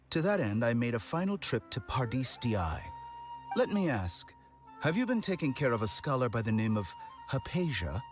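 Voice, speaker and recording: An actor playing a haughty, theatrical scholar and schemer in a scripted game, speaking deliberately and with cool politeness. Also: male voice, mid-range voice, lightly acted. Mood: polite. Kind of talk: scripted game character acting.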